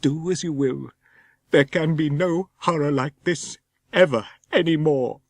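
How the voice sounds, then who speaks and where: An anguished young gentleman, voiced by a male narrator, brave in his grief. His delivery is earnest and strained, suited to a Gothic horror story. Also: a little high, a little slow, no echo, studio quality, pitched above the performer's own voice. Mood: anguished, despairing.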